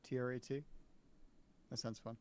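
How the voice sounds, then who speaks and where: A man's voice: medium pitch, little pitch movement, moderate pace, darkish tone, smooth. A man co-hosting, chatting casually and cracking jokes. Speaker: co-host. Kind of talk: casual joking chat.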